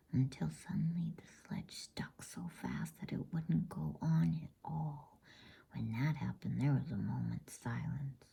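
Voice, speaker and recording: A woman reading a novel aloud as a bedtime story in a hushed, unvoiced ASMR whisper. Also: sits low, vocal fry, breathy edge.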